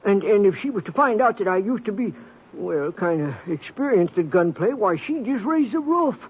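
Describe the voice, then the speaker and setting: An actor playing a timid, henpecked older bank teller in a radio western, stammering nervously with a folksy American accent. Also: male voice, sits high, very animated, normal speed, breathy edge, heavily acted. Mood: flustered, confiding. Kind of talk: old-time radio western acting. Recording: tinny, narrow-band old radio broadcast.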